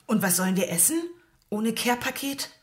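A mocking, volatile young woman , played by an actress in a radio play. Her voice is on the high side. Distinct noise and clicks sit behind it. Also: fairly quick, lightly acted. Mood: matter-of-fact. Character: volatile, hard-drinking young wife, mocking and sharp-tongued.

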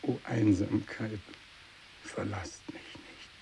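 An actor playing a fanatical, tormented renegade priest in a radio drama monologue, declaiming in anguish. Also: male voice, fairly low pitch, fairly even intonation, takes it slowly, gravelly, dark tone, poor audio. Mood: despairing, feverish. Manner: declamatory, anguished, swinging between cries and whispers. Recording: faint tape hiss, 1960s radio production.

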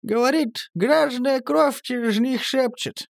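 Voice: sits high, slow pace, vocal fry, no echo, studio quality, voice pushed up, full character voice. A storyteller voicing a worried, resigned village aunt who talks with a sigh. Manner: sighing, plaintive.

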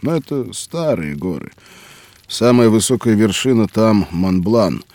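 An actor playing a hearty, hospitable mountaineer in an audio drama for children, speaking expressively. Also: male voice, lowish voice, expressive, measured pace, dark tone, no room sound. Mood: earnest, animated. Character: hearty hospitable mountaineer by a campfire below Everest. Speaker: mountaineer character.

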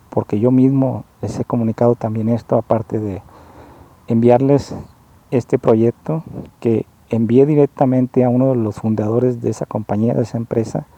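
A man speaking a monologue, slow and halting, often stopping to rephrase as he explains himself earnestly. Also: mid-range voice, dark tone, poor audio. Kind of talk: spoken monologue, personal complaint.

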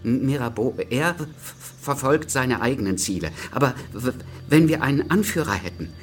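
An actor playing an eager young revolutionary lawyer with a halting stammer in a radio drama. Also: male voice, medium pitch, animated, slight background noise, natural voice. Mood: urgent. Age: young adult.

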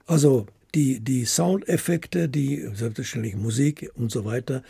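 A man with a husky, gravelly voice giving interview recollections as an author, haltingly, often ending phrases with tag questions. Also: medium pitch, mellow, dry recording. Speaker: author of radio plays, guest.

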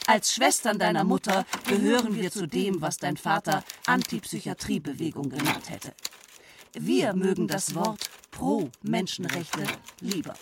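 An actress playing a prim, opinionated aunt in a radio play, formally dictating a letter with its punctuation. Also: female voice, lowish voice, a little slow. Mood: prim, earnest. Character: prim, opinionated aunt writing to her niece. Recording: clear noise with clicks behind.

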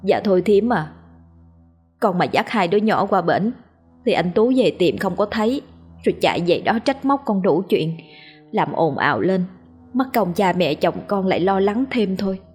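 A woman with a Southern Vietnamese accent voicing a poor, long-suffering young mother who speaks softly, as if holding back tears. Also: medium pitch, expressive, moderate pace, breathy edge, faint music behind. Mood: tearful, tender. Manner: soft, choked, tender.

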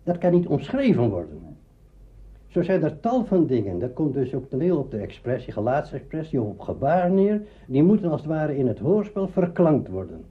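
An older man, a veteran radio actor, reminiscing and explaining deliberately in an interview. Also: on the high side, very animated. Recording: dull lo-fi archive recording, faint noise.

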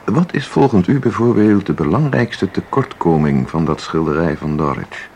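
A man's voice: sits low, expressive, normal speed, a little mellow. A man acting in a Dutch radio play as a terse, condescending museum visitor who asks pointed questions. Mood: challenging. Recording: audible background noise.

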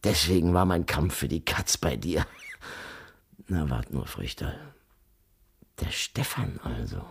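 An actor playing a menacing, jealous German army sergeant in a radio drama, barking threats with an Austrian-Bavarian accent. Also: male voice, a bass voice, very animated, slow, vocal fry, husky, exaggerated voice. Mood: furious, taunting. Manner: barking orders, threatening, taunting.

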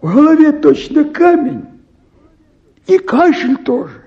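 An actor playing a whiny, meek, suffering peasant patient in a radio play. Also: male voice, very high-pitched, sing-song, unhurried, dark tone, muffled recording, exaggerated voice. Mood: pained whining.

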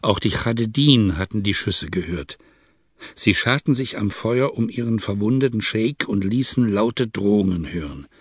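A man reading a first-person adventure novel aloud in a measured, steady storytelling manner. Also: fairly low pitch, husky, dry recording. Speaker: narrator.

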